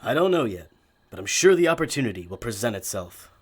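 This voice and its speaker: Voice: mid-range voice, very animated, fast, breathy edge. An actor playing a prim, dry, calculating male magician in an audio drama, formal and precise. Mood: coy, measured.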